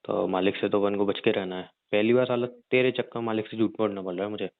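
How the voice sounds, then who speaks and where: A man playing a rough young gangster husband in an audio drama, crude and teasing yet protective, talking in slang. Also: on the low side, steady tone, fast, no echo. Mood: scheming, explaining. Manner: slangy, crude, mild swearing. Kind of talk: audio roleplay drama, conversational. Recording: narrow phone-like dry sound.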